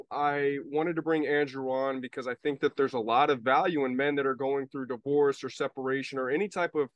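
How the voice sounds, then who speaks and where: A man's voice: mid-range voice, clean tone, no echo. Earnest male podcast host, dry sound. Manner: earnest, restarts phrases often. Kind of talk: casual interview hosting.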